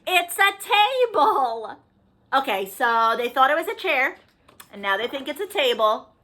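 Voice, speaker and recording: A woman hosting story time for young children, animated and sing-song, talking to them with questions and encouragement. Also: high-pitched, moderate pace. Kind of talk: children's storytime read-aloud with singing and asides.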